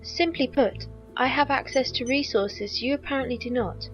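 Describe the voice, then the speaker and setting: An actress playing a loyal, composed squire in an audio drama, speaking formally and precisely. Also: female voice, high voice, normal speed, highs cut off, faint music behind, lightly acted. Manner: formal, precise, stilted wording.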